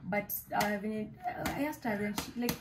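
A woman's voice: medium pitch, normal speed, background noise. A young woman with a Kenyan accent chatting casually and animatedly in her home kitchen, filmed close on camera. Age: young adult. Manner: chatty and animated, mixing English with Swahili. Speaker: vlogger. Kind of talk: casual shopping haul chat. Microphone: camera in front at close range.

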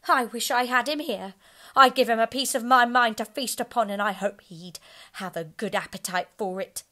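A woman voicing an indignant, sharp-tongued mother of a poor family, loud and scornful. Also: mid-range voice, measured pace.

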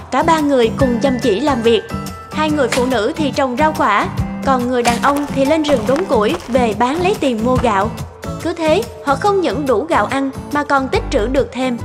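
A woman narrates a folk tale from a script. Her voice is high, and music plays clearly behind it. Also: moderate pace.

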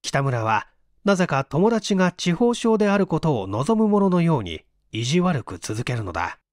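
High-voiced man reading fiction dramatically. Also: very animated, normal speed, dry recording, clean studio sound. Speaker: audiobook reader, stage actor. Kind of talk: dramatic literary narration.

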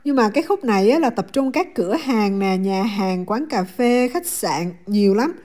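A woman's voice: medium pitch, animated, moderate pace, warm tone. A woman with a southern Vietnamese accent narrating a casual travel vlog in a chatty, affectionate way to her viewers. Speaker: travel vlogger.

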